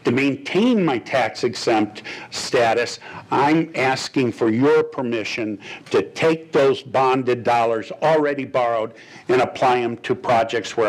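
A man's voice: medium pitch, poor audio. An older man speaks into a desk microphone in an indoor boardroom. He talks the board through a finance item plainly, stumbling into restarts as he goes. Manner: plain-spoken, gestures a lot, restarts often. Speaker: finance official. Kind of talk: explaining to a board. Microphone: desk microphone on a stand.

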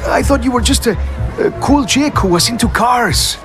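An actor playing an earnest, anxious young racer in debt, hesitant and pleading, in a game cutscene. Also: male voice, very high-pitched, expressive, moderate pace, over music, a crowd in the background. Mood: alarmed, pleading. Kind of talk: video game cutscene acting.

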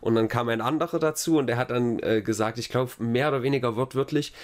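Male podcast co-host, mid-pitched, casual and sardonic, loose rambling storyteller. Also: quick pace.

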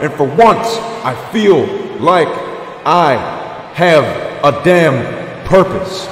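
A defiant drifter-turned-champion wrestler, voiced by a man cuts a blunt promo in a wrestling game, swearing freely. His voice sits a little high, and it rings out with a roomy echo and a long reverb tail. Faint ambience hums underneath. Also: animated, slow pace, fairly bright tone, slight background noise.

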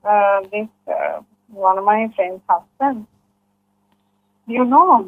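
A woman's voice: mid-range voice, expressive, slow. A woman, speaking politely and hesitantly in a phone conversation. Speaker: prank call victim. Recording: narrow, tinny phone line.

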